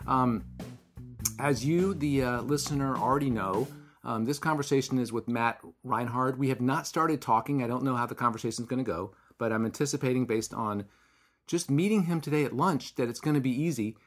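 Hoarse man hosting a podcast. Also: medium pitch, fairly quick, soft background music. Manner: rambling, self-deprecating, wry. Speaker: podcast host. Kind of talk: casual conversational interview.

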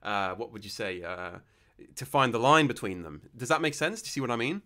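A man's voice: medium pitch, animated, fairly quick, sharp tone. A young man hosting a podcast over a video call, chatting casually with lots of restarts and fillers, on a studio mic. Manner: rambling, many restarts and fillers. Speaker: podcast host. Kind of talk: casual interview chat. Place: small room, video call. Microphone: studio mic with headphones.

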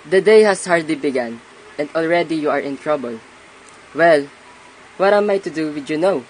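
Kindly old country uncle, played by an amateur actor: pious, folksy, soothing, mid-pitched, light noise behind. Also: lively intonation, moderate pace, natural voice. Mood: scolding. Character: kindly, pious old peasant uncle, gently chiding children. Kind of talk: amateur radio play reading. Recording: noisy amateur home recording.

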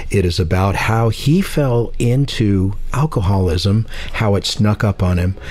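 A man hosting an interview podcast, asking questions in an easygoing, unhurried way. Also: lowish voice, a little mellow. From San Francisco Bay Area. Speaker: podcast host. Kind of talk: casual interview.

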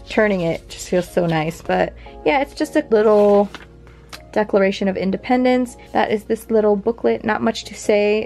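Chatty young woman with a gravelly edge. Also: mid-range voice. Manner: chatty, rambling, fillers and soft laughs. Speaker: vintage collection vlogger. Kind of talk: casual show-and-tell narration. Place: home near a big airport.

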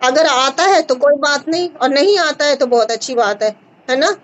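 A woman in her thirties hosting a live video call at home, calmly advising a caller and checking in with short questions. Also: high-pitched, normal speed, highs cut off. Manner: reassuring, repeats short checks like "है ना?". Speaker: host advising a caller on a skin remedy. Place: indoor home room with curtains. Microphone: phone front camera on a video call.

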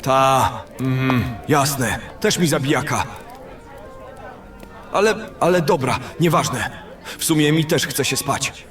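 A man's voice: mid-range voice, slow pace, pauses often, fairly bright tone. A man playing a brash, greedy fantasy adventurer in an audio drama, talking in a coarse, boastful, grumbling way. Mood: dismissive.

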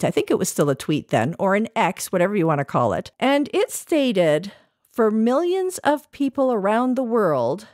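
A woman's voice: mid-range voice, dry recording, studio quality. A woman hosting a podcast segment, interviewing in a conversational, prepared style. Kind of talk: conversational interview with read-out passages.

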